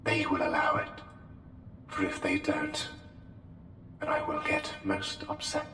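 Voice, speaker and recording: A man voicing an otherworldly, courtly plague doctor in an intimate ASMR roleplay, speaking formally and deliberately with hesitant pauses. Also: low-pitched, huge pitch swings, exaggerated voice. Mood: intent, reassuring. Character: courtly, intense male plague doctor, an otherworldly anomalous being. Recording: echoey hall reverb, music behind. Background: music.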